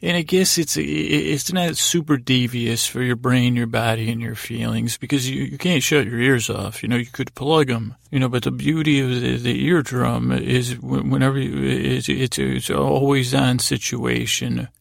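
A man delivers a rambling bedtime monologue as a podcast host. His voice is gravelly and stays quite monotone, recorded very dry. He halts, circles back and wanders off on tangents. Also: medium pitch, dry recording. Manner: meandering, halting, easily distracted. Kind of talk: rambling bedtime monologue to help listeners fall asleep.

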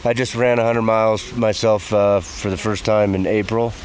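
A man, a race pacer who has just run a hundred miles, giving a casual interview outdoors; he speaks in a laid-back, halting way with many fillers. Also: fairly low pitch, steady tone, slight background noise. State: weary after running a hundred miles. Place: outdoors at an ultramarathon aid station. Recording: outdoor recording with a crowd behind.

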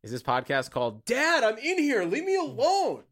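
Very animated man bantering on a podcast. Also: extremely high pitch, normal speed, dry recording, clean studio sound. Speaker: podcast co-host. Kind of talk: casual comedic sports chat.

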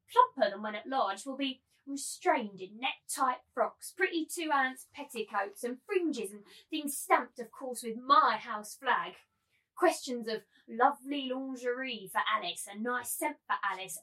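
A woman's voice: fairly high pitch, pushed and bright, distant mic, rough recording. A woman playing a cruel, gloating, domineering madam in a theatrical reading of a novel, taunting and imperious. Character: cruel, gloating, domineering brothel madam turned masculine tyrant. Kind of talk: dramatic table reading of a novel.

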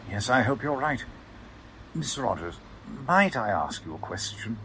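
A man's voice: medium pitch, sing-song, unhurried, fairly bright tone. A man acts out a fussy company clerk in a forties radio drama. He is prim, courteous and hesitant, his intonation swinging widely. Faint ambient noise sits behind him. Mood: hesitant, polite. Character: fussy, formal, hesitant male chief clerk. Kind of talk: 1940s radio drama acting.

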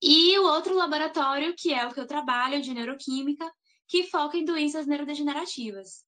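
Young woman, high voice, chatty, keeps adding little tag questions. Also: brisk, fairly bright tone. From Salvador, Bahia, Brazil. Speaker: guest, master's student. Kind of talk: interview answers.

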